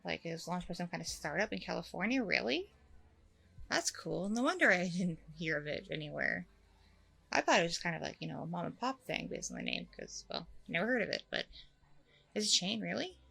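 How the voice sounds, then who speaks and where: A woman's voice: fairly low pitch, sing-song, fairly quick, vocal fry, a bit bright, slightly rough, heavily acted. A woman playing a chatty, apologetic blind date in an ASMR audio roleplay, rambling along casually and a little hesitantly. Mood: curious, chatty.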